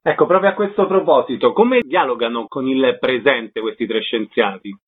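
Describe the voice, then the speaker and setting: A man's voice: mid-range voice, lively intonation, moderate pace, slightly rough. A man asking a question as a radio interviewer. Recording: narrow phone-like radio sound.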